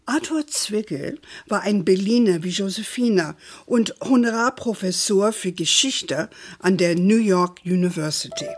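A woman playing a fond older grandmother who tells stories at an unhurried pace in a children's radio play. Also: medium pitch, slightly rough, dry recording. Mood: storytelling. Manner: unhurried, fond, sprinkles English endearments.

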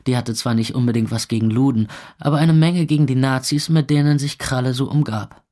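A man's voice: medium pitch, fairly even intonation, fairly quick, dark tone, no echo, clean studio sound, natural voice. An actor playing a weary, sardonic young club owner who narrates his night in a radio drama in terse phrases. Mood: wry. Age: young adult. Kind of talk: first-person radio drama narration over club music.

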